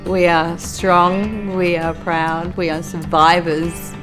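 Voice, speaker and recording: A middle-aged Australian woman telling her own story in an earnest, reflective, steady way. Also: fairly low pitch, slow. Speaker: youth worker and law graduate. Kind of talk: personal testimony for a documentary. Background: soft music.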